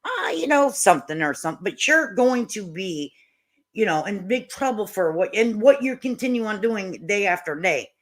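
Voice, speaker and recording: A woman's voice: mid-range voice, expressive, moderate pace. A woman doing casual reaction commentary as a channel host, with a blunt, mocking, folksy and salty way of talking.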